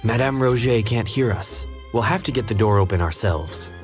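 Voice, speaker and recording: An actor voicing a calm, matter-of-fact detective in a game. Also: male voice, lowish voice, normal speed, soft background music, natural voice.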